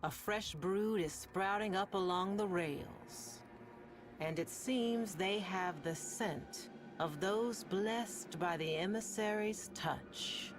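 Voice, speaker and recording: A woman playing a sardonic, world-weary radio host in a sci-fi game, telling stories in a deliberate, ominous, wry way with long pauses over music. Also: medium pitch, lightly acted. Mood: dark, suspenseful. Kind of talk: slow dramatic monologue to listeners, storytelling.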